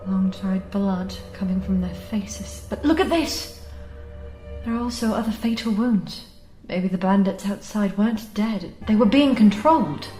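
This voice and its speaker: A woman's voice: mid-range voice, measured pace, mellow, close to an everyday voice. A woman playing a wary, earnest young adventurer in a fantasy audio drama. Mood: shaken. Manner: earnest, urgent. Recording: echoey room with music behind.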